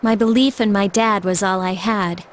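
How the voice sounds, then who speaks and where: A woman's voice: medium pitch, steady tone, moderate pace, slight background noise, natural voice. A young American woman voicing an earnest, resolute teenage schoolgirl in an anime game. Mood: earnest, wistful. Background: music.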